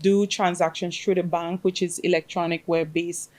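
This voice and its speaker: A woman from the Caribbean whose first language is Spanish, chatting as an interview guest and telling her story with many "um" pauses. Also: fairly low pitch, little pitch movement, darkish tone. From Caribbean, grew up in Grenada. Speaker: guest, fintech project manager. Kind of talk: casual interview chat.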